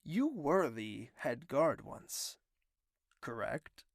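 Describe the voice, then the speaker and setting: An actor playing a proud, wounded young male royal with a fierce temper in an audio drama, speaking in an intense, imperious and deliberate way. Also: medium pitch, sing-song, dry recording, clean studio sound, exaggerated voice. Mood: cold, menacing. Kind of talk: dramatic audio drama acting with narrated inner thoughts.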